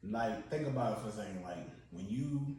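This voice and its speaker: A young man from Baltimore talks in a loose, slang-heavy way as a podcast guest, his voice sitting a little low. He is recorded from some distance in a very echoey room, with faint noise underneath. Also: animated, brisk, dark tone. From Baltimore County, Maryland. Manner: laid-back storyteller with slang and filler words. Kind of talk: casual podcast chat.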